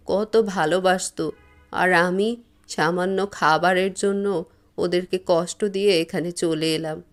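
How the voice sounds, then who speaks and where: A woman's voice: fairly low pitch, lightly acted. A woman voicing a plaintive, remorseful elderly mother-in-law in a cartoon moral story. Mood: bitter, regretful.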